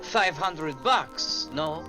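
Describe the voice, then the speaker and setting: An actor playing a chummy, wryly eager wheeler-dealer in a 1940s-style radio drama. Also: male voice, on the high side, expressive, very slow, music in the background.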